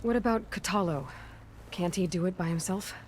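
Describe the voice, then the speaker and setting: A woman's voice: on the low side, normal speed, a little mellow, slight background noise, natural voice. A young woman playing a self-assured, wary warrior heroine in a video game, direct and dry in delivery. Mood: probing. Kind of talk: naturalistic video game acting.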